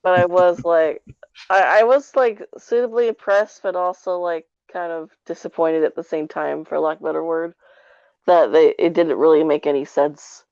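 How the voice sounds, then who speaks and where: A woman's voice: lowish voice, steady tone, normal speed, darkish tone, clean tone, no echo, lo-fi sound. A woman in a casual review chat, talking in a nerdy, hedging way as a podcast co-host. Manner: hedging, self-described nerdy enthusiast.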